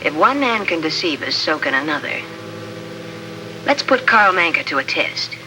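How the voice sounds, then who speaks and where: An actor playing a suspicious, coolly polite doctor in an old radio drama, with a measured, arch, formal manner. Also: male voice, on the high side, very animated, music in the background, low-quality recording. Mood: cool suspicion. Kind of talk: 1950s radio drama acting.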